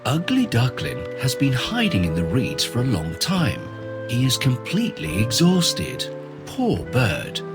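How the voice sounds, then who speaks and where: A man narrating a scripted children's fairy tale in an expressive, deliberate storytelling manner. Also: medium pitch, music in the background.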